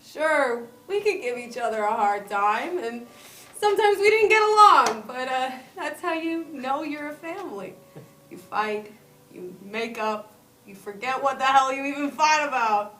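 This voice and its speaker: A woman's voice: high voice, animated, measured pace, fairly bright tone. A woman playing a weary, sentimental middle-aged male movie star in grief at a comedy table read. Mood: wistful. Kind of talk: live comedy script read-through. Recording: roomy, distant mic, faint noise.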